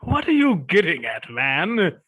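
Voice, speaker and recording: A man's voice: high-pitched, wildly swooping pitch, unhurried, fairly bright tone, husky, no room sound, lo-fi sound, exaggerated voice. A man playing a pompous, cruel parish sergeant in a theatrical, florid way in a dystopian Victorian stage play reading. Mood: suspicious, haughty.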